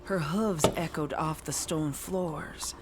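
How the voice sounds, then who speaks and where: Low-pitched woman narrating dark horror, unhurried. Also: very noisy. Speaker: fan fiction narrator.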